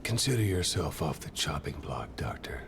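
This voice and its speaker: An actor playing a sardonic, menacing military officer in a video game, dry and needling. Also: male voice, very deep voice, big pitch swings, moderate pace, vocal fry, heavily acted. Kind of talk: video game cutscene acting. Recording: loud background noise.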